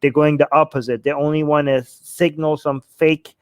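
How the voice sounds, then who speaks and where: A man's voice: fairly high pitch, level tone, normal speed, no room sound. A man hosting a panel discussion, speaking emphatically and repeating phrases for stress. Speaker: host of a discussion show.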